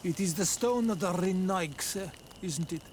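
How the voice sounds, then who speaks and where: Video game role: fearful, resentful stone engraver, unhurried and solemn, fairly high voice. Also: male voice, a little hiss or hum. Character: bitter, fearful native stone engraver in hiding. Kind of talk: video game cutscene acting.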